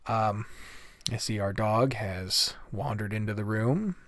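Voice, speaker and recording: A man's voice: lowish voice, takes it slowly, a bit bright, no echo. Blunt casual man, dry close sound. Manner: blunt, profane. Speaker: video game reviewer, host. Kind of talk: casual rambling review commentary.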